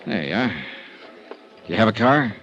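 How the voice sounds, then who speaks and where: An actor playing a kindly, hard-boiled American investigator in an old-time radio detective drama, informal and gently coaxing, with a wisecracking streak. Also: male voice, lowish voice, lively intonation, measured pace, highs cut off, a little hiss or hum. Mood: concerned, helpful. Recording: vintage radio drama with music behind.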